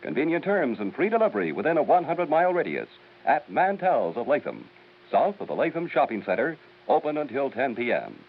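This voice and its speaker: A man reading a local radio commercial in a brisk, salesy pitch. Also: sing-song. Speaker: radio ad announcer. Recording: hissy, tinny old radio recording.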